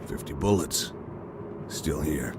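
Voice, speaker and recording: A man playing a gruff, surly, hard-to-kill soldier in an audio drama, speaking in terse, dismissive phrases. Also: extremely low pitch, lively intonation, slow, background noise, pitched below the performer's own voice, full character voice. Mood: gruff, cocky.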